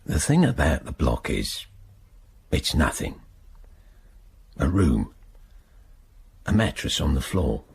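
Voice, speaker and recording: Brooding, gravelly low-voiced prisoner. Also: male voice, sing-song, slow pace, pauses often, slight background noise, heavily acted. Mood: brooding, bleak. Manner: brooding, terse, fragmented delivery. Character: long-serving male prisoner protesting his innocence, bitter, stubborn, brooding. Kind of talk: radio drama acting, intimate first-person monologue.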